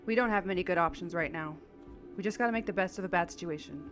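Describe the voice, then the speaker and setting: A woman's voice: on the low side, fast, soft background music, lightly acted. A woman playing a tough, pragmatic Marine sergeant in a science fiction audio drama, plain-spoken and commanding. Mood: steady, weary.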